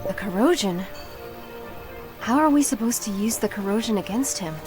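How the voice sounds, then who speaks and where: A woman's voice: mid-range voice, lively intonation, moderate pace, close to an everyday voice. A woman performs a resolute young female game warrior. She speaks in a middle register, firm and businesslike, with music playing behind the scene. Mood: questioning. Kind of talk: video game cutscene acting.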